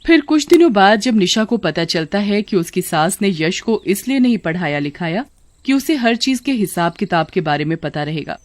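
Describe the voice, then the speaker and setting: A woman's voice: mid-range voice, sing-song, normal speed, no echo. A woman giving scripted narration for a children's cartoon story.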